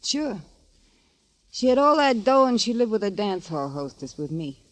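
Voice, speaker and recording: A woman's voice: mid-range voice, sing-song, moderate pace, close to an everyday voice. An actress playing a jaded, possessive dance hall woman in 1950s radio noir, slangy, tough and knowing. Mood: defensive, bitter.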